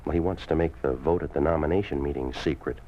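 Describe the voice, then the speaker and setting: An actor playing a ruthless, domineering communist party boss in a 1950s radio drama, speaking in a curt, commanding way. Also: male voice, extremely low pitch, fast, slight background noise. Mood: smooth, probing. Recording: muffled old 1950s radio recording.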